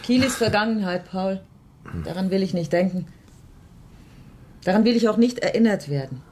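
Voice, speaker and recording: A woman's voice: medium pitch, normal speed, a little mellow, slight background noise, lightly acted. An actress playing a bitter, blunt, tough countrywoman in a radio drama, speaking in a terse, resolute way. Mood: bitter, weary. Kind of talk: naturalistic radio drama dialogue.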